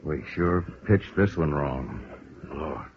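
An actor playing a blunt, weary middle-aged police lieutenant in a 1950s radio drama, gruff and plain-spoken. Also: male voice, a bass voice, expressive, takes it slowly, full character voice. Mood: weary, frustrated. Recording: dull old radio recording, faint noise.

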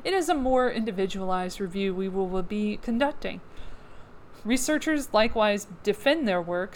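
A woman at a medium pitch reads long news passages aloud and breaks off now and then for dry, sarcastic comments. Her voice is wearing thin and strained. A faint hiss sits under the recording. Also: poor audio. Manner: sarcastic asides between read passages. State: losing her voice. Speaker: podcast host.

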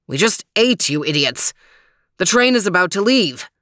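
A woman plays a sensible storybook dog detective, second in command of his pack. He talks briskly and scolds with impatience. The sound is dry. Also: fairly low pitch, sing-song, fairly bright tone, no room sound. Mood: scolding. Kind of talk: storybook character reading.

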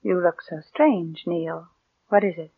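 An American actress playing a cold, calculating murderess who passes as meek, in controlled, deliberate mid-century radio suspense style. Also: female voice, on the low side, gravelly, slightly rough, no room sound, exaggerated voice. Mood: coldly gloating. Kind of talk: 1940s-50s American radio suspense acting and narration. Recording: muffled vintage radio recording.

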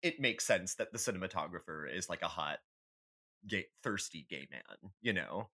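Low voiced guy podcast host, super animated, opinionated, sweary casual chat. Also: normal speed.

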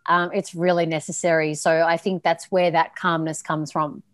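A relaxed, chatty woman speaks as a podcast guest, laughing easily. Her voice is mid-pitched and recorded very dry, with no room sound. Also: normal speed, no echo. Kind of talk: casual interview chat. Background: dog barking.

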